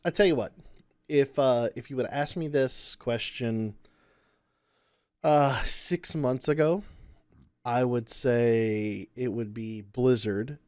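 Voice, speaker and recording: A man's voice: mid-range voice, slow pace, a little mellow, clean tone, dry recording, clean studio sound. A man co-hosting a podcast in casual chat, enthusiastic and explaining at length. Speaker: podcast co-host.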